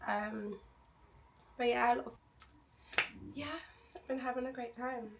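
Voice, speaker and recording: Hoarse young woman, gravelly voice. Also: on the high side, fairly even intonation, measured pace, background noise. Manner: chatty, rambling, candid. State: hoarse, losing her voice. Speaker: travel vlogger. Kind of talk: casual chat to camera.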